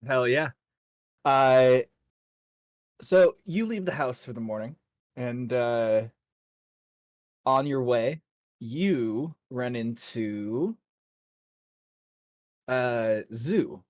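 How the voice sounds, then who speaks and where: A man's voice: mid-range voice, drawn-out words, long pauses, dry recording. A man narrating a tabletop fantasy game as dungeon master, informal with his players.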